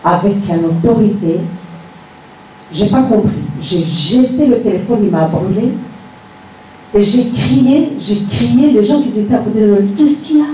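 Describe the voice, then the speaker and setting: A woman's voice: medium pitch, a little slow, mellow, a little hiss or hum. A middle-aged woman gives a personal religious testimony, telling her story earnestly and repeating phrases for emphasis. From Rwanda. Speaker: church member giving testimony. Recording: echoey room, distant mic, music.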